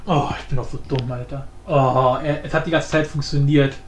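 A man's voice: mid-range voice, steady tone, normal speed. A man thinking aloud in slangy, casual talk as he hosts a screen-recorded coding tutorial, muttering to himself. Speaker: tutorial host and hobby game programmer. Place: indoor room, voice over screen capture. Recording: roomy echo, faint background noise.

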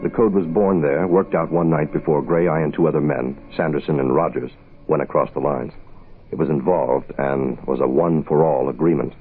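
An American man playing a cool, wry hard-boiled private investigator in a 1960s radio drama, laconic and deadpan. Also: very deep voice, moderate pace, breathy edge. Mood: cool, brooding. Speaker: lead actor and narrator. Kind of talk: 1960s radio detective drama acting, first-person narration. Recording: muffled phone-like old radio, music behind.

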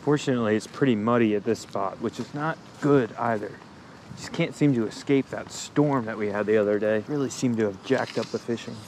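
A man's voice: medium pitch, brisk, darkish tone. A man hosting a fishing vlog outdoors, talking casually and informally to viewers with mild slang. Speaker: fishing video host. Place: outdoors by a pond. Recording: noisy outdoor ambience.

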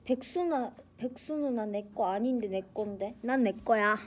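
A woman's voice: normal speed, slight background noise. A young woman in her twenties chatting playfully on a phone livestream, teasing and answering comments. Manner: playful and teasing, bursts into high shouts and laughs. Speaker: idol livestream host. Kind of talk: casual livestream chat reading comments. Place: small bright indoor room, leaning on a table. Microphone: phone held close at arm's length.

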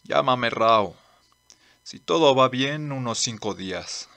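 A seasoned older man of the desert from a cartoon dub, played by a male actor. His voice is gravelly and very sharp, with lively pitch. He instructs in a firm, practical, gruff way. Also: slow, full character voice. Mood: instructive. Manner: firm, matter-of-fact, instructing. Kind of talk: amateur manga dub acting.

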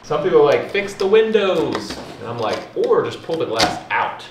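A man's voice: fairly high pitch, very animated, normal speed, roomy sound, noisy background. A man in his thirties giving a casual, joking walkthrough vlog of an old stone house, recorded on a camera mic. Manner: upbeat, joking, wry. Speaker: vlogger.

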